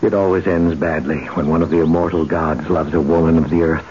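An actor playing an ancient god, romantic, brooding and commanding, speaking in a solemn, intimate, declamatory way in an old-time radio drama. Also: male voice, extremely low pitch, steady tone, a little fast. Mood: brooding, probing. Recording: muffled, noisy old radio broadcast.

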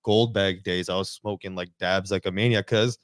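A man's voice: deep voice, fairly even intonation, normal speed, no echo, studio quality. A man co-hosting a casual podcast, laid-back and slangy, often trailing off mid-thought. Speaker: podcast co-host. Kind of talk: casual chat.

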